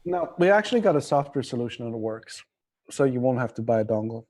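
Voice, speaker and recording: A man with a slightly American accent speaks in a mellow, relaxed voice at an average pitch. He is an interview guest on a livestream, rambling informally and speaking bluntly in casual language. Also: fast talker. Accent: somewhat American. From Singapore. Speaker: interview guest, drone company representative.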